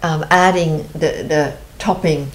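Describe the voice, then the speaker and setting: A woman's voice: sits low, fairly even intonation, slow pace. A middle-aged woman from Switzerland with a Swiss accent, hosting a TV interview in an earnest way and often restarting her phrases. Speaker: host. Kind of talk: conversational TV interview.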